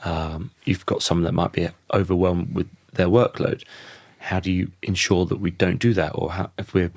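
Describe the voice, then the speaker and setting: A man in his thirties with a croaky voice, a podcast guest talking thoughtfully and often restarting his sentences. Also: deep voice, fairly even intonation, moderate pace, darkish tone, no echo. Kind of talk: reflective conversational interview.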